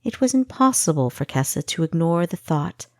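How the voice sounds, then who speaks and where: A woman reading an audiobook story as its narrator, in a measured, expressive storytelling manner. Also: lowish voice, no echo, clean studio sound. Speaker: author reading own audiobook. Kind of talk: scripted fiction narration.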